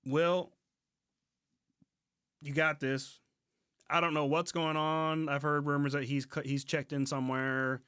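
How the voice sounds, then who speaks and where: A man co-hosting a podcast, chatting informally in a halting, conversational way. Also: fairly high pitch, flat delivery, a bit bright, smooth, dry recording, clean studio sound. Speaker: podcast co-host. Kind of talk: casual podcast chat. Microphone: close podcast mic on remote recording.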